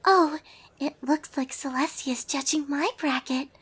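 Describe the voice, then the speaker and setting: A woman voicing a shy, gentle young female cartoon pony with a soft, breathy, hesitant voice in a story reading. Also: sits high, voice pushed up. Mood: shy, informative. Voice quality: soft, breathy, near whisper. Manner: timid, hesitant, halting.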